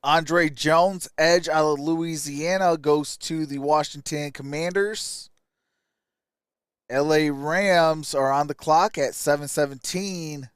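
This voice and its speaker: A man's voice: on the high side, fairly even intonation, takes it slowly, clean tone, no room sound, clean studio sound. A man hosting a live stream, giving casual draft commentary and reading out stats in clipped phrases.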